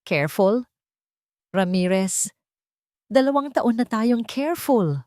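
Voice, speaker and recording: A woman's voice: a little high, lively intonation, slow, warm tone, dry recording, clean studio sound, voice pushed up. A woman voicing a cold, scheming, ruthless rich wife in an audio drama, irritated and demanding. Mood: irritated, mocking.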